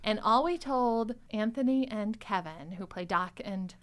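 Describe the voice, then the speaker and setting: A woman hosting a casual chat, telling stories in an animated way and quoting other people's lines. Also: medium pitch, a little slow. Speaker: podcast host and show editor.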